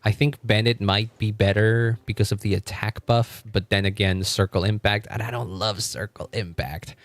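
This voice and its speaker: A man's voice: lowish voice, steady tone, moderate pace, no echo. A man live streaming in a casual, rambling way, thinking aloud and often chuckling as he chats with viewers. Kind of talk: casual gaming livestream chat.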